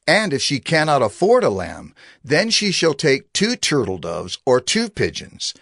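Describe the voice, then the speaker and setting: A man narrating scripture in a solemn, measured reading style, with phrases that rise and fall. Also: medium pitch, dry recording, clean studio sound. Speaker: Bible narrator.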